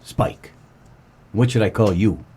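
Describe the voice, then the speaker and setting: A man playing a wisecracking, deadpan 1940s private detective in a comedic noir radio play, with terse, slangy tough-guy delivery and a husky voice. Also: fairly low pitch, slow pace, heavily acted. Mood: cool, wry. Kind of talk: comedic noir radio play, first-person narration and dialogue.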